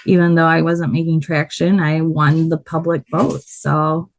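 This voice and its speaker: A woman with a loud voice, a body painter telling her story in a casual interview, animated and quick to laugh. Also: deep voice, vocal fry.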